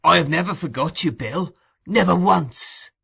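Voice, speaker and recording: A man voicing a sly, fawning old criminal ringleader with a high pleading whine. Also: animated, unhurried, creaky, bright, forceful tone, breathy edge, exaggerated voice.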